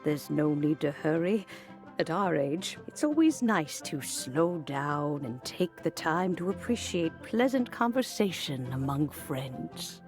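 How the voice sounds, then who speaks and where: A woman voicing a serene, kindly elderly lady in a video game, courteous and reflective, with a gently teasing side. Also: deep voice, very animated, takes it slowly, heavily acted. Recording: music under the voice.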